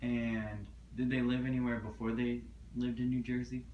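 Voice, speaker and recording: A man's voice: medium pitch, little pitch movement, moderate pace. A man interviewing a relative, asking short prompting questions in an oral history interview. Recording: dull echoey room, faint noise.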